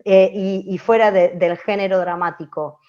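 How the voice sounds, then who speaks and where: A woman's voice: mid-range voice, steady tone, moderate pace. An Argentine woman explaining in an open class, didactic, repeating phrases for emphasis. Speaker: radio language teacher. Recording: dull lo-fi audio.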